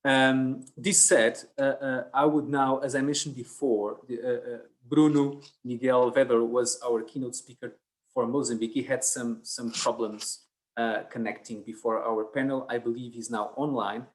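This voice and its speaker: A man moderating an online conference panel, talking in a halting way with many fillers and restarts. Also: mid-range voice, fairly even intonation, low-quality recording.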